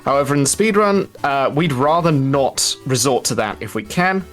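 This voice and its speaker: A man's voice: medium pitch, lively intonation, normal speed, soft background music. A man giving casual, explanatory live speedrun commentary in a chatty, wry, informal way.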